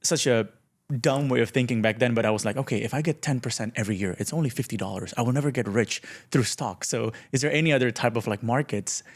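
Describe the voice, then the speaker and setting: A man's voice: mid-range voice, fast. A man in his late twenties from Indonesia, talking casually and self-deprecatingly as a podcast guest in an informal, slangy way. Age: late 20s. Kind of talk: casual conversational interview.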